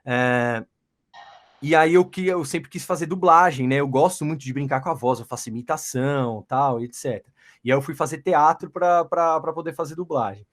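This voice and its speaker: A Brazilian man with a fairly high voice talks casually as a guest. He is a voice-over professional, and he speaks in a halting way, often repeating words as he finds his thought. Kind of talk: casual chat, telling his own experience.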